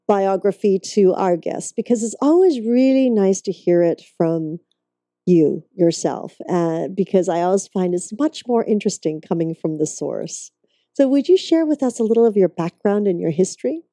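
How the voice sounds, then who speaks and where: A woman from Hong Kong hosting a wellness talk show, gracious and quick to laugh as she introduces and interviews her guest. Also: mid-range voice, animated, mellow, dry recording, studio quality. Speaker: host.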